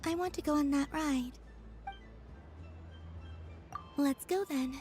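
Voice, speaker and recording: A woman voicing a gentle, curious young moon goddess in a video game, with a measured, airy, wistful manner. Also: high voice, music in the background, close to an everyday voice. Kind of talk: animated video game voice acting.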